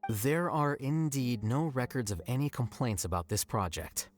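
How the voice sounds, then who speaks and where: An actor playing a dry, sarcastic young male scholar in a game, speaking in a flat, deadpan way. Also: mid-range voice, normal speed, soft background music, close to an everyday voice. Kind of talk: game voice acting.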